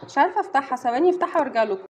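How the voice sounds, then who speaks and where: A woman's voice: sits high, lively intonation, rapid-fire, a little hiss or hum. A woman with an Egyptian accent, a mother chatting casually to her viewers while unboxing. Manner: chatty, addresses viewers directly. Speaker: mother and family vlogger.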